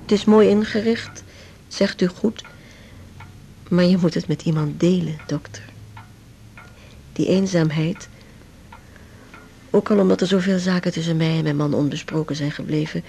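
An actress playing a lonely, brooding older widow in a radio drama, speaking in a halting, confiding way. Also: female voice, sits low, vocal fry, mellow. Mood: regretful. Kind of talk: naturalistic Dutch radio drama acting. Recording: faint background noise.

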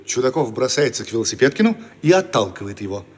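A man's voice: medium pitch, big pitch swings, quick pace, noisy background, lightly acted. A man as the narrator of a radio play, reading scripted stage directions. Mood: animated narration.

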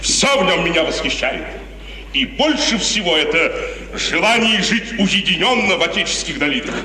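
A man's voice: sits high, sing-song, normal speed, bright, forceful tone, exaggerated voice. An actor playing a stern, proud, suspicious middle-aged father in a declamatory 1950s Russian radio drama. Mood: impatient. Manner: declamatory, emphatic. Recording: noisy, reverberant 1950s radio recording, distant.

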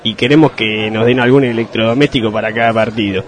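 A man's voice: fairly low pitch, fairly even intonation, quick pace, close to an everyday voice. A man playing a brash, scheming football hooligan leader in a comedic radio sketch. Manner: brash, demanding. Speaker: fan group leader.

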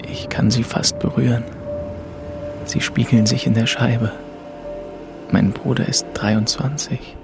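An actor playing a weary, haunted young man who narrates his story in a measured, brooding way. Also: mid-range voice, steady tone, creaky, over music. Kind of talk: scripted first-person audio drama narration.

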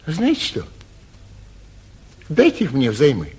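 A man playing a foppish, carefree young gentleman from the capital in a classic Russian stage comedy for radio, chatty and airy with a self-satisfied manner. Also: fairly high pitch, wildly swooping pitch, slow, full character voice. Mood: casual, probing. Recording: muffled, husky 1949 radio theatre recording.

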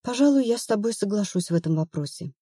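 A woman's voice: medium pitch, animated, quick pace, warm tone, husky, dry recording, studio quality. A woman narrator playing an anxious, stubborn woman of thirty-one in an audiobook, plaintive and earnest. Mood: doubtful.

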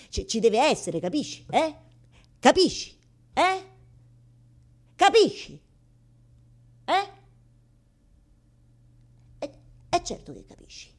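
An actress playing an anguished, embarrassed woman who confronts God in a theatrical radio monologue, halting and repeating her words insistently, blunt and exasperated. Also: mid-range voice, darkish tone. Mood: insistent, confiding.

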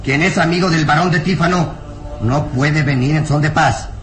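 Man acting a hot-tempered peasant, high-pitched, threatening. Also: normal speed, soft background music, lightly acted. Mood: angry, threatening. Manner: threatening, blustering, exclamatory. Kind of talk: old radio serial acting. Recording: roomy, noisy old radio drama recording.

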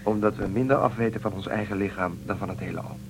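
A man's voice: on the low side, steady tone, fast talker, slight background noise, natural voice, rough recording. An actor playing an affectionate, teasing male partner aboard a spaceship in a science fiction radio drama, talking informally.

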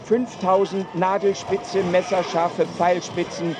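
An actor playing an intense battlefield reporter delivering live commentary in a radio play. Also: male voice, high voice, level tone, slow. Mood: intense, grave. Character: intense male battlefield reporter watching the archers. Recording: loud outdoor battle noise behind.

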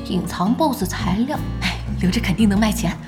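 A woman's voice: medium pitch, animated, moderate pace, over music, lightly acted. An actress playing a feisty, blunt young woman who runs an internet cafe, brash and exclaiming, in an audio drama. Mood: excited. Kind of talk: Chinese audio drama acting.

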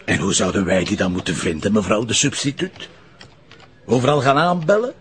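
A man playing a gruff, sarcastic police commissioner with a Flemish accent in a radio drama. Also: on the low side, sing-song, a little fast, a bit bright. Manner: sardonic, grumbling.

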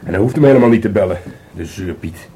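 An actor playing a grumbling but playful police detective in a radio play, speaking informally with a Netherlands Dutch accent. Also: male voice, on the low side, lively intonation, fast talker, warm tone, close to an everyday voice. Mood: grumbling, sulky. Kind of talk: naturalistic radio play dialogue. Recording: faintly noisy radio play recording.

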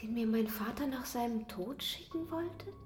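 A woman playing a young, impressionable opera singer, enraptured and fearful, in a breathy, intimate radio drama style. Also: fairly high pitch, lively intonation, moderate pace, natural voice. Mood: awed, wondering. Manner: breathy, intimate, slipping into hushed whispers. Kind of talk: German radio drama acting.

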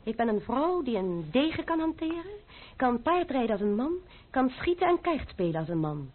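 Trotse, spottende jonge edelvrouw, telefoonachtig dof hoorspelgeluid. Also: female voice, sits high, very animated, normal speed. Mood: proud, defiant. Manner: haughty, scornful, commanding. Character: proud, defiant young French noblewoman, sword-fencing avenger. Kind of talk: Dutch radio play acting. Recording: narrow-band, muffled old radio recording.

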